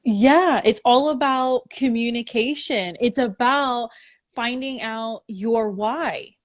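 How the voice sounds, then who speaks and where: A woman's voice: a little high, slow pace. A woman hosting a casual live-stream interview, upbeat and encouraging, laughing often. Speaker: host. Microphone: phone in a live video call.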